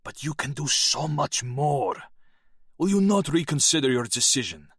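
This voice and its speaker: A man's voice: fairly high pitch, very animated, moderate pace, a bit bright, breathy edge, no echo, studio quality, voice pushed up, heavily acted. A man voicing a formal, persuasive Russian military colonel. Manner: formal, pleading.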